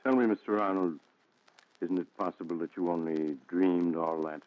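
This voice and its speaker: A man playing a calm doctor in an old radio thriller. He probes and advises with measured authority in a fairly low voice, on a dull vintage recording. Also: close to an everyday voice. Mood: probing. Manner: measured, deliberate, insistent. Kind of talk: 1940s radio thriller acting.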